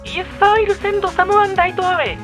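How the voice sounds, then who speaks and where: An extremely high-voiced female secretary from a game drama, acted by a woman. She is brisk and obliging, with light music in the background. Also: fairly bright tone.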